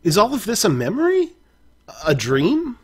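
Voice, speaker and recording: Man putting on confused young hero, raised husky voice, storybook reading. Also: high-pitched, huge pitch swings, moderate pace, pitched above the performer's own voice, full character voice. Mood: bewildered. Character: bewildered young protagonist.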